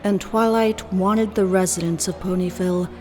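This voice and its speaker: A woman narrating a scripted fan fiction story in a measured storybook style. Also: fairly low pitch, steady tone, darkish tone, some chatter behind, slight background noise. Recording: long reverb, music behind.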